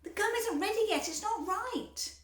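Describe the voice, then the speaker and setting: A woman voicing an eccentric male chocolate maker, fussy and quickly exasperated, in a storybook reading. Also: very high voice, pitched above the performer's own voice. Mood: alarmed. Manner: fussy, quickly exasperated, then wistfully resigned. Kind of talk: storybook read-aloud. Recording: echoey room.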